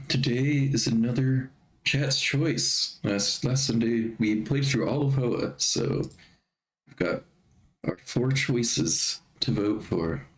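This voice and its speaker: A man streaming live in a casual chatty way, a bit stuffy from allergies and clearing his throat often. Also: mid-range voice, slow pace, rough recording.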